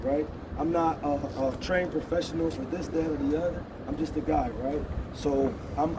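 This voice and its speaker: A man's voice: fairly high pitch, distant mic, noisy background. Blunt man in his thirties, outdoor street speech. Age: 30s. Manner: blunt, repeats "you see what I'm saying". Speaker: protest organizer.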